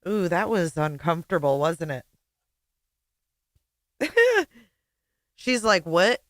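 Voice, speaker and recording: A woman giving casual reaction commentary on old interview clips, chatty with quick asides. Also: lowish voice, very animated, no echo, studio quality.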